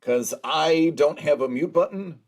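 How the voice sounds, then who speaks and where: A man's voice: on the high side, measured pace. A man hosting a casual livestream, joking along and reading out viewers' chat messages. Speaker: livestream host.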